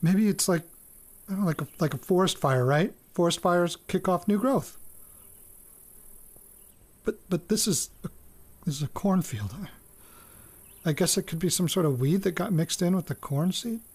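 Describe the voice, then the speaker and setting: A man's voice: sits high, animated, normal speed, long pauses, a little mellow, breathy edge, heavily acted. An actor playing a hesitant farm-raised man in an audio drama, murmuring and thinking aloud as if into a recorder. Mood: puzzled, awed.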